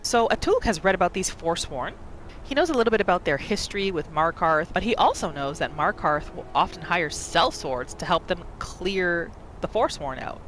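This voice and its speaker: A woman giving expressive, storytelling third-person commentary as she roleplays through a video game. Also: fairly low pitch, normal speed, slight background noise. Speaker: gamer narrating a roleplay playthrough. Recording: faint game music behind.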